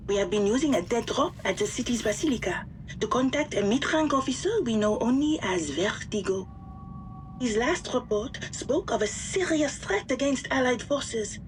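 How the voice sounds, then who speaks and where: An actress playing a serious leader, giving a formal, measured briefing in a war game. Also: female voice, fairly high pitch, slight background noise, close to an everyday voice, poor audio. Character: serious female French Resistance leader briefing an agent. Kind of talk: scripted mission briefing, game acting.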